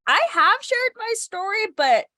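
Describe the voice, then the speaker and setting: A woman's voice: extremely high pitch, very animated, unhurried, bright, forceful tone, no room sound, studio quality. A young woman co-hosting a casual podcast, stuffy from a cold, chatting nervously with frequent laughs. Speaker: podcast co-host.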